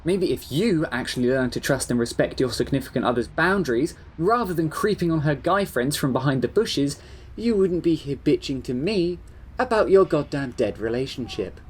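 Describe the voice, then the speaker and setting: A man's voice: fairly high pitch, animated, normal speed, slightly rough. An actor playing an exasperated, well-meaning male friend in a radio drama, speaking in an earnest, pointed way. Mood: angry.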